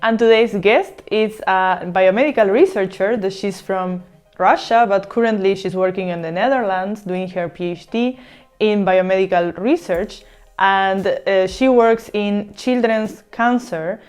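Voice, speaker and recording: A young woman from Uruguay whose first language is Spanish hosts an online interview. She introduces and questions her guest in a medium-pitched voice, with soft music in the background. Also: measured pace, smooth. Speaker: channel host and interviewer.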